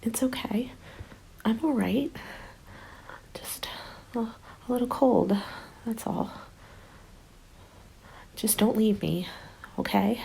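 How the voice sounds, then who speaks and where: A woman playing a haunted, determined zombie apocalypse survivor in an ASMR roleplay, speaking in a soft, breathy half-whisper with an intimate, deliberate delivery. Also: fairly high pitch, slight background noise, low-quality recording. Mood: tender, unsettled. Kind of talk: ASMR roleplay, dramatic monologue. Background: background music.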